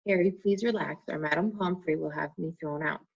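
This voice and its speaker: A woman voicing a kindly old male headmaster wizard in a soft, calm, unhurried manner, read as a storybook. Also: sits low, gravelly, slightly rough, exaggerated voice. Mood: soothing. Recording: muffled lo-fi recording.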